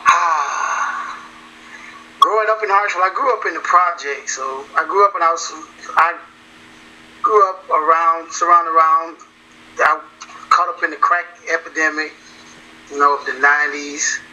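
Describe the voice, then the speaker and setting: A man, a musician talking in a casual interview, relaxed and repeating himself as he goes. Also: a little high, measured pace, pauses often, muffled recording, slight background noise. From South Carolina. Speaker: musician guest.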